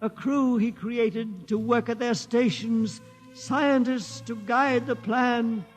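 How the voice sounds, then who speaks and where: An old solemn keeper of legend. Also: male voice, extremely high pitch, steady tone, faint music behind. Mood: solemn chanting. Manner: slow, intoning recitation. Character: aged male keeper of sacred ship legend, solemn. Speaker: old-time radio drama actor. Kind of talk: 1950s radio drama acting.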